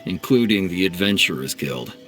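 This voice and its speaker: A man's voice: low-pitched, expressive, normal speed, a bit bright, faint music behind. A man playing an earnest, duty-bound officer in a video game, explaining things in a matter-of-fact way. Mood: official.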